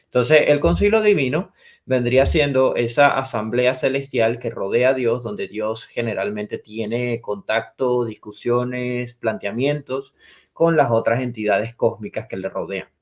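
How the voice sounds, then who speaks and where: A man's voice: medium pitch, unhurried. A man in his twenties from Venezuela, a theology teacher explaining terms step by step as a podcast guest. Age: 20s. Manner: lecturing, defines terms step by step. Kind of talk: explanatory interview answers.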